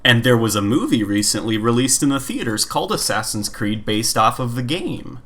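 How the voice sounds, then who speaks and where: A male podcast co-host with a medium-pitched voice chats casually about games. His way of talking is informal, and he puts his opinions emphatically. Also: lively intonation, moderate pace, fairly bright tone. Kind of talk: casual gaming chat.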